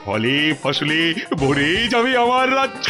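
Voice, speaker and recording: A man playing a silly, stubborn, ageing king in a kids' cartoon, theatrical and repeating his words in excitement. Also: fairly high pitch, a little slow, over music. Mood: grandly delighted. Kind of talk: Bengali kids' cartoon voice acting.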